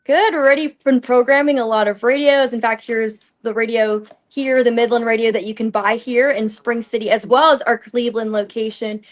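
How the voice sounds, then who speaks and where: A woman field reporter giving an informal, unscripted live report with a halting, ad-libbed delivery. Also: a little high, steady tone.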